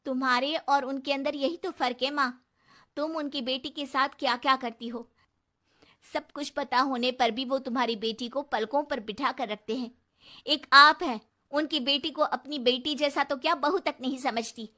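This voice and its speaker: An earnest, outspoken young bride in a cartoon family tale, acted by a woman. Her voice is high and sharply bright, and she makes her points with emphasis. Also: fairly even intonation, normal speed. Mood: indignant. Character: anxious, outspoken young sister-in-law and bride.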